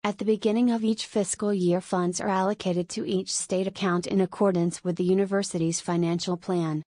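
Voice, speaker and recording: A woman reading an exam sample passage aloud in an even, careful way. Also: mid-range voice, a little fast, no echo. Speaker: sample answer reader.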